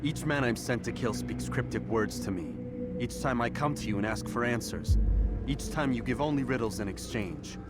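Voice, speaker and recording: An actor playing a stern, questioning young assassin in a video game, speaking in a terse, earnest, restrained way. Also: male voice, mid-range voice, moderate pace, over loud music, natural voice. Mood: doubtful. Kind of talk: dramatic video game acting.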